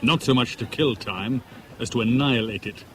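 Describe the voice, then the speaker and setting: A man's voice: medium pitch, moderate pace, background noise, lightly acted. An actor playing an indignant, lovestruck British merchant banker who questions insistently and narrates his own story in a radio drama. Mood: wry, reflective.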